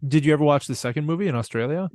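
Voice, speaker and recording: A man's voice: fairly high pitch, rapid-fire, darkish tone, no echo, studio quality. A man co-hosting a podcast in crude, casual chat, laughing through his stories and stumbling over restarts. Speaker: podcast co-host.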